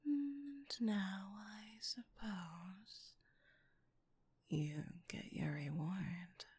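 A woman's voice: mid-range voice, extremely slow, a little mellow, husky. A woman whispering breathily in an intimate ASMR-style monologue, with a teasing, commanding and lingering delivery.

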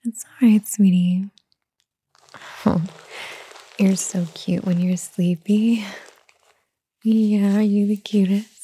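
A woman doing a whispered ASMR roleplay, talking softly and soothingly in a gentle whisper. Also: medium pitch, flat delivery, slow pace, long pauses, slightly rough, no echo. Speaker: ASMR performer.

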